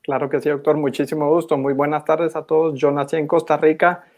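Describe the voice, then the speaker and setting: A young man gives a formal academic presentation in a measured, didactic manner as a postgraduate student. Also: on the high side, little pitch movement, a little mellow. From Guatemala. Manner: measured, didactic, clearly enumerating.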